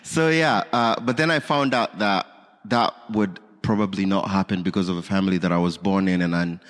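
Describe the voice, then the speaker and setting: A man's voice: low-pitched, normal speed, fairly bright tone. A man from Kenya with a Kenyan accent, a guest on stage giving his testimony, earnest and hesitant. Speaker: guest speaker. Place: large church sanctuary. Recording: reverberant church hall.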